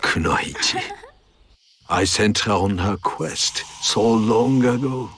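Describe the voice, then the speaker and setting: Slow, deep-voiced old ninja master. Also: male voice, fairly bright tone, a little hiss or hum. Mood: wistful. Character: elderly male ninja master, wistful, fond of his pupils. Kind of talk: reflective character monologue in a video game. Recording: music behind the voice. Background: game music.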